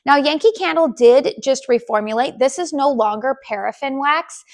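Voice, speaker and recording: A woman who hosts a candle channel, from the United States, chatting casually to her viewers with a raspy voice, with many ums and restarts. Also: high-pitched, normal speed. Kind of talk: casual chat to camera.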